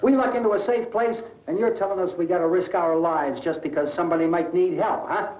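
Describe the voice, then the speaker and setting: A man's voice: high voice, brisk, natural voice. An actor playing a stubborn, combative, frightened middle-aged American family man in an old horror film, arguing insistently in a tense voice. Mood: angry, scornful. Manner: argumentative, insistent, repeats himself. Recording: dull, echoey old film soundtrack, distant.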